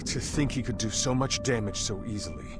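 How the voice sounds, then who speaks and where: An actor playing an earnest, dutiful palace official in a video game, speaking formally and gravely. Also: male voice, fairly low pitch, fairly quick, lightly acted. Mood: concerned. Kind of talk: video game cutscene voice acting. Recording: music behind the voice.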